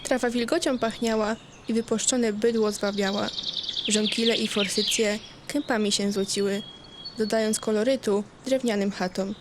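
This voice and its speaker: Woman narrating over clearly audible birdsong. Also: mid-range voice, fairly even intonation, normal speed. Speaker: storyteller, narrator. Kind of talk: scripted children's audio story narration. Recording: clear background noise, birdsong, clicks. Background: chirping birds.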